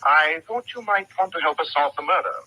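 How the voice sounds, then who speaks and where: An actor playing a brisk gentleman detective, polite but probing and commanding, in an old-time radio drama. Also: on the high side, slightly rough, heavily acted. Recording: thin, noisy vintage radio recording.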